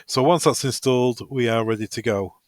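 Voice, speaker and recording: Man, mid-pitched voice, dry close recording, informal tutorial delivery, practical, step-by-step instruction. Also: brisk, no room sound. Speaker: product tutorial presenter. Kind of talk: step-by-step software walkthrough, semi-improvised.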